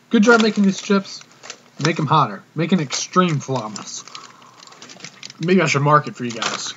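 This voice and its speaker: A young man with a fairly high voice talks through a muffled webcam mic with faint noise. He vlogs casually from a small room, cocky and full of slang. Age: young adult. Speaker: vlogger, prank caller. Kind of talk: casual comedic vlog chat to camera. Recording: dull webcam audio with noticeable hiss.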